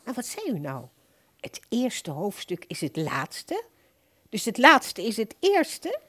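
A woman playing a simple, earnest customer in a radio play, plain-spoken, puzzled and gently persistent. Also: on the high side, wildly swooping pitch, slow pace, exaggerated voice. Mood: confused. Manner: plain-spoken, questioning, insistent.

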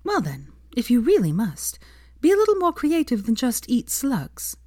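Fond, gently exasperated young woman played by a female narrator, raised pitch, teasing, dry sound, fiction. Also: huge pitch swings, normal speed, dry recording.

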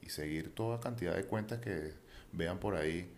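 A man's voice: low voice, moderate pace, darkish tone, a little hiss or hum. A man co-hosting a casual chat, rambling and restarting his sentences. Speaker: podcast co-host.

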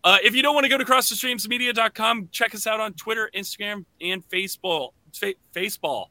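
A man hosting a podcast, chatting casually and enthusiastically in an animated way. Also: high-pitched, fairly quick. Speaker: podcast host.

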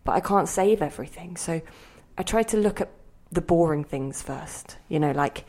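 Low-voiced woman acting a possessive, wistful inner spirit. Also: moderate pace, lightly acted. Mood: musing, possessive. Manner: theatrical, musing, by turns sharp and intimate. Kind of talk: audio drama monologue and dialogue.

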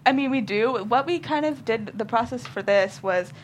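A woman's voice: a little high, expressive, moderate pace. A young woman chatting informally as a guest screenwriter on a panel, peppering her talk with "like". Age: young adult. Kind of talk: casual panel chat. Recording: noisy room ambience.

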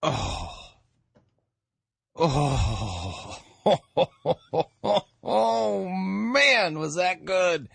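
A man hosting a radio show, giving informal commentary with emphatic, drawn-out delivery. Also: fairly high pitch, very animated, vocal fry, fairly bright tone, slightly rough, dry recording.

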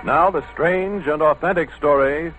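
A man's voice: a little high, measured pace, fairly bright tone, soft background music. A man announcing in dramatic, declamatory 1940s radio style, on a dull old broadcast recording.

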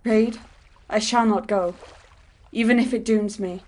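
A woman's voice: mid-range voice, fairly even intonation, measured pace, darkish tone, natural voice. A woman playing Edwin, a devout, visionary young man, speaking in earnest, old-fashioned dialogue for a drama. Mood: serene.

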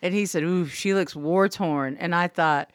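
A woman's voice: lowish voice, normal speed, no room sound, clean studio sound. A woman from Southwest Oklahoma with an Oklahoma accent, co-hosting a radio talk show in a chatty, teasing way. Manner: chatty, laughs often, teasing. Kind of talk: casual chat.

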